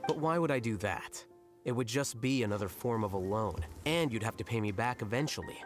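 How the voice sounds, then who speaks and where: A man voicing a cool, condescending young scholar in an anime-style video game, teasing in a dry, deadpan way. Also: lowish voice, fairly quick, close to an everyday voice. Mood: coolly teasing. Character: cool, smug, unflappable young male scholar roommate. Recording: game dialogue with music behind.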